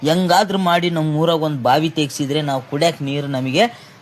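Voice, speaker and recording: A man's voice: a little high, steady tone, moderate pace. North Karnataka man telling comic stories. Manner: colloquial, acts out dialogue. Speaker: comic storyteller. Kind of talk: animated-video comic story narration. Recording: faint background noise.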